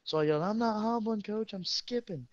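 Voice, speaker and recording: A teenage man, a high school football player, casually telling crude, joking, rambling stories to a friend. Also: a little fast, lo-fi sound, a little hiss or hum.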